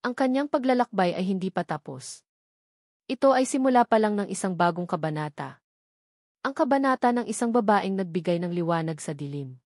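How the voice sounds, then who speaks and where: A woman reads a sentimental story as a narrator. Her delivery is earnest and measured, at a middling pitch. The sound is close and dry, with no room around it. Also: lively intonation, a little mellow, dry recording.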